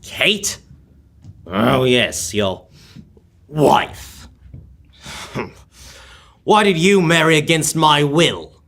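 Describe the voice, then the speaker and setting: A bitter old miser in a radio play, acted by a young man, with a bright, forceful voice pitched fairly high. He speaks slowly and curtly, dripping scorn on everyone. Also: sing-song, exaggerated voice. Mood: cold contempt. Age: teenager or young adult. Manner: curt, scornful, emphatic. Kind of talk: live old-time radio drama reading.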